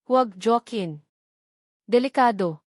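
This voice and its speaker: A woman's voice: a little high, slow pace, darkish tone, no echo, clean studio sound. A Filipino woman narrator voicing an older mother and grandmother, protective, pleading and remorseful, in a dramatic story. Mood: anguished, pleading.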